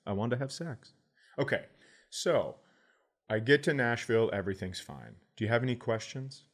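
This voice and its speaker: A man's voice: mid-range voice, normal speed. A man telling stories in a casual comedic way as a podcast host, sarcastic and swearing freely.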